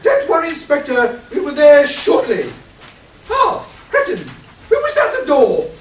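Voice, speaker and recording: A man's voice: very high-pitched, wildly swooping pitch, a little slow, full character voice. A man playing a dim, imperious British titled lady with a haughty, exclamatory manner in a comic radio play. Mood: brisk, haughty. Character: titled upper-class woman, dim, imperious, breezily callous amateur sleuth. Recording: distant mic, roomy echo, faint hiss.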